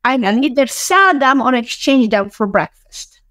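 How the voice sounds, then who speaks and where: A woman's voice: high voice, expressive, measured pace, a bit bright, no echo, clean studio sound. A middle-aged woman, telling her own story casually as an interview guest on a video call. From Hungary, born in Romania. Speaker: interview guest, bookkeeping business owner. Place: small room, indoors.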